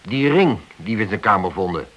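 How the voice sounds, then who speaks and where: A man acting in a radio play as a stern, accusing senior police inspector who speaks deliberately. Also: lowish voice, expressive, a bit bright. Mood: probing.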